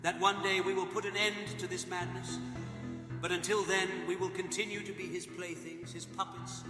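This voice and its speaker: A man's voice: high voice, flat delivery, normal speed, close to an everyday voice. A man voicing an anxious male opera house manager, speaking in a fretful, exasperated way in an audio drama. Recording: echoey hall reverb with music.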